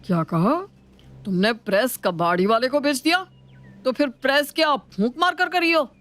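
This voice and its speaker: A man voicing a thrifty, easily exasperated older father-in-law in an animated moral story, with a scolding, exclamatory manner. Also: very high-pitched, normal speed. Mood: irritated.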